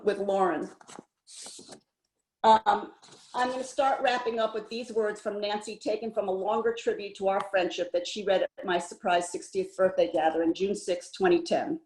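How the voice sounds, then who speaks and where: A woman's voice: mid-range voice, darkish tone. Earnest older woman, roomy sound. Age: 70s. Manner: earnest, heartfelt. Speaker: memorial speaker. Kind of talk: prepared personal tribute read aloud. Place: indoors on an online video call. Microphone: video call microphone. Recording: roomy, echoey online call.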